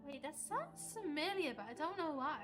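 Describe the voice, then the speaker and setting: Casting: female live streamer, high voice, expressive casual game reactions over clear background music. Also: moderate pace. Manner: expressive, exclamatory.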